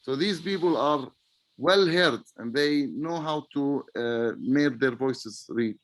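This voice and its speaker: Halting man. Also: medium pitch, dry recording, rough recording. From Palestine. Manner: halting, searching for words with many pauses. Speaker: webinar guest. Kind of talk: answering interview questions. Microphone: video call.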